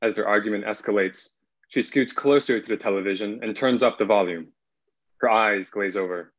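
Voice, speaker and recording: Low-voiced young man, dull call audio. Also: normal speed. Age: young adult. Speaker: narrator and actor. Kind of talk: scripted narration at a table read. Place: plain room at home. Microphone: video call.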